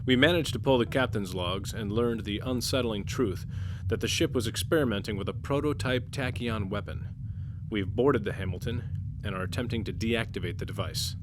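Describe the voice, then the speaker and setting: A man reading a scripted, dramatic science fiction introduction in a measured, solemn manner. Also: fairly low pitch, noisy background. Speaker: podcast narrator and player. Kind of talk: scripted dramatic sci-fi narration.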